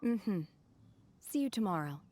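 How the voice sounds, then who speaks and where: A woman voicing a calm, reserved young hermit disciple in a video game, subdued and formal. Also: medium pitch, unhurried, a little hiss or hum. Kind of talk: video game character acting.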